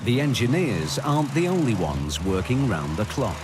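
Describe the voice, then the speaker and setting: A man delivers scripted documentary narration with a lively, animated rise and fall at a middle pitch. Loud music plays underneath him throughout. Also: moderate pace.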